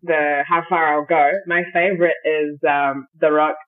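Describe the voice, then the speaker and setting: A woman's voice: deep voice, level tone, unhurried. A woman chatting casually with a friend in a playful, giggly, informal way. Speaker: podcast guest. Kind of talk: casual chat between friends. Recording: tinny, phone-like call audio.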